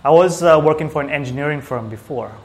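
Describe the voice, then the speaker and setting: A man from Singapore chatting casually as an interview guest, with hesitant, halting phrasing. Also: on the high side, a little hiss or hum. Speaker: small business owner, IT technician, interview guest.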